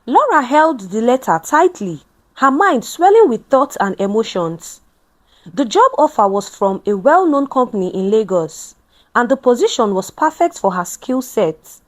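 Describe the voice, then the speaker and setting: Expressive high-voiced woman storyteller. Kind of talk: scripted dramatic story narration.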